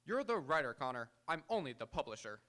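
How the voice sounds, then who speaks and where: A man's voice: on the high side, very animated, fairly quick, bright, forceful tone. A man playing a commanding, pompous magazine publisher with oratorical delivery in a live radio comedy. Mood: bossy, businesslike. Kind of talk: live radio drama acting. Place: auditorium stage, live radio theatre.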